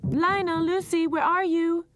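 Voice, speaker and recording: A woman's voice: fairly high pitch, sing-song, fairly quick, over music, pitched above the performer's own voice. A woman voicing a strict mother cat. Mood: calling. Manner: stern, scolding.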